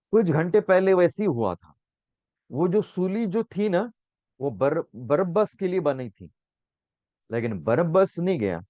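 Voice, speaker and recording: A man with a hoarse throat teaching a Bible sermon, earnest and repeating phrases for emphasis, now and then slipping in English words. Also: mid-range voice, dark tone, dry recording. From India. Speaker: preacher. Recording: muffled dry recording.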